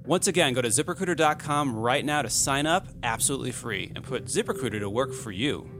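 A man's voice: medium pitch, lively intonation, a little fast. A man who writes the show, delivering a scripted sponsor read to listeners. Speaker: podcast writer and creator reading a sponsor spot. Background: music.

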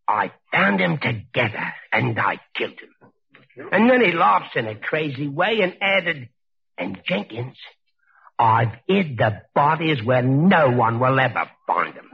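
An actor playing a humble, talkative British pub proprietor giving deferential testimony in a 1940s radio drama. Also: male voice, mid-range voice, vocal fry, husky, exaggerated voice. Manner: deferential, rambling, folksy. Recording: muffled vintage radio recording.

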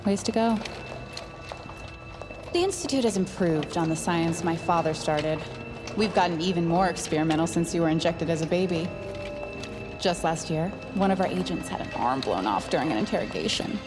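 A low-pitched female villain, a cold institute scientist who soothes and gloats in the same breath. She is condescending and theatrical, with dramatic music playing behind her in an audio drama. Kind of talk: scripted audio drama acting.

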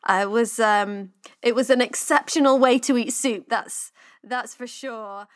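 Woman playing British startup businesswoman: high voice, hesitant, diplomatic, awkwardly polite, audio-comedy naturalism. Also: a little slow, lightly acted. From Britain. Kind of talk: naturalistic comedy podcast acting.

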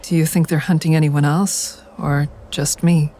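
A woman's voice: deep voice, little pitch movement, measured pace, dark tone. An actress playing a guilt-laden, wary woman in danger in an audio drama, speaking in an earnest, confiding way. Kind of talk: full-cast audio drama acting and inner narration.

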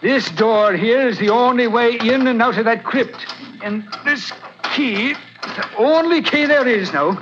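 An actor playing a gruff, stubborn police lieutenant in a 1940s radio drama, blunt in his talk. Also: very high-pitched, a little slow. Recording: muffled old radio broadcast, faint hiss.

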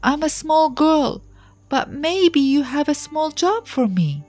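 An earnest young girl played by a woman narrator in a children's story, her voice lifted high. She pleads simply and sincerely, humble in prayer, over soft music. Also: sing-song, slow, exaggerated voice. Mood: earnest pleading.

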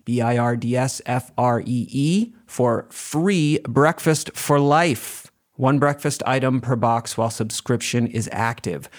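A man with a medium-pitched voice delivers a podcast sponsor read as the show's creator. His delivery is scripted but conversational, addressed straight to listeners. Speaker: show creator reading a sponsor message.

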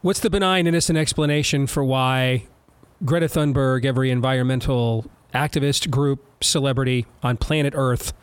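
A male talk show host interviewing a guest, speaking in a loud, combative way. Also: mid-range voice, fairly even intonation, moderate pace, clear, resonant tone, dry recording.

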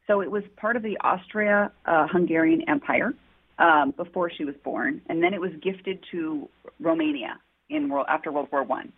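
A woman's voice: sits low, normal speed, breathy edge. A woman author answering interview questions, telling her story in an earnest, heartfelt way. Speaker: author guest. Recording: tinny phone-line audio.

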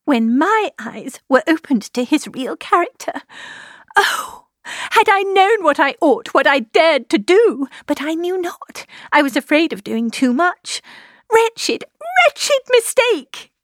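Woman reading as a distraught young gentlewoman, high-pitched. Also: moderate pace, no room sound, studio quality, natural voice. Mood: anguished self-reproach. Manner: agitated, breathless, emphatic. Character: distraught young gentlewoman, anguished and self-reproaching.

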